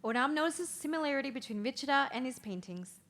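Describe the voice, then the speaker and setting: High-voiced woman narrating a play. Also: expressive, quick pace, fairly bright tone. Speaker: narrator reading stage directions. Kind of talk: scripted narration at a table read.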